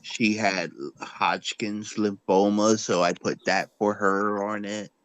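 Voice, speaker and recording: Slow, halting man in his forties. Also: fairly low pitch, level tone, clear, resonant tone, dry recording. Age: 40s. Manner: halting, with many fillers. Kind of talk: casual group chat.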